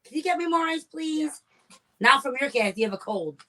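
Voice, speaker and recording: A woman co-hosts a casual podcast with a bright, clear voice that swings widely in pitch. She is bubbly and effusive, showering her guest with praise. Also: expressive, moderate pace. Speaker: podcast co-host. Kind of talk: casual chat.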